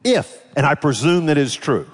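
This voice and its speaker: A man's voice: a little high, animated, moderate pace, breathy edge. A man preaching a sermon in a conversational manner, putting rhetorical questions to his congregation. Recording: reverberant hall sound.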